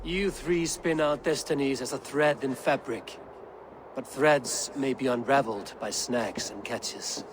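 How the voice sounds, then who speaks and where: An actor playing a proud, brooding ruler of the gods with a grand, commanding manner in a game cutscene. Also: male voice, mid-range voice, a little slow, music in the background, natural voice. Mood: defiant. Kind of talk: dramatic video game cutscene acting.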